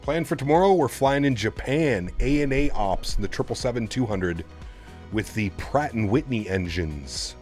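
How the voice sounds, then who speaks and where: A man's voice: mid-range voice, expressive, normal speed, darkish tone, soft background music. A man streaming a flight simulator, thinking aloud in a casual, chatty way and asking his viewers for their opinions. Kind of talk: casual live-stream commentary while programming a flight computer.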